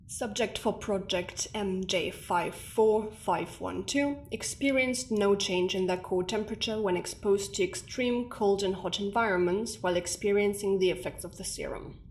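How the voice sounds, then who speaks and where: An actress playing a stern, clinical scientist in an audio drama, formal, measured and dryly exasperated. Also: female voice, medium pitch, natural voice.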